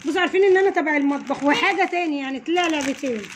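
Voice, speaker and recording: امرأة مصرية سريعة الكلام بصوت عالٍ. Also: female voice, high voice, rapid-fire, noisy background. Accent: Egyptian Arabic. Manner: chatty, coaxing the kids along. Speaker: channel host. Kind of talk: casual unboxing chat with children. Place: home, indoors, family around. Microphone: phone held by hand.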